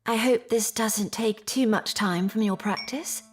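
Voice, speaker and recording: An actress plays an anxious, well-mannered young Victorian lady in an audio drama. Her medium-pitched voice is formal and earnest. There is faint music in the background. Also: unhurried, natural voice. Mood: grateful.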